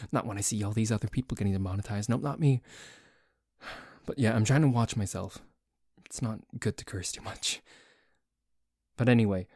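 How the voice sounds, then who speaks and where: A man playing a dorky, jealous tsundere boyfriend in an ASMR roleplay, bickering haltingly with a cocky streak. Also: lowish voice, a little mellow, no room sound, studio quality. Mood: grudging. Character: dorky, jealous, grumbling tsundere boyfriend who dislikes a cat.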